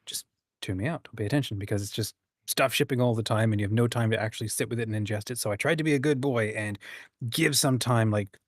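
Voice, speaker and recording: A man with a mid-pitched voice hosts a relaxed livestream chat. He talks informally and rambles along with enthusiasm, and the sound is dry, with very little room in it. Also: fast, no room sound. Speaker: host of a writing community livestream.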